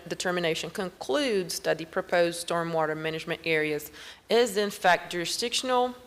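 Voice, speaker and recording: Low-voiced woman reading a staff report. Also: a bit bright. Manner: formal, reads steadily with small restarts and clears her throat. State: losing her voice. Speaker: county planning staff member.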